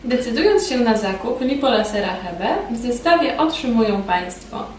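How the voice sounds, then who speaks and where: Female instructor, roomy and echoey sound, formal scripted training narration, measured delivery. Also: mid-range voice. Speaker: instructor presenting a beauty device training film. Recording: roomy echo, distant mic, background noise.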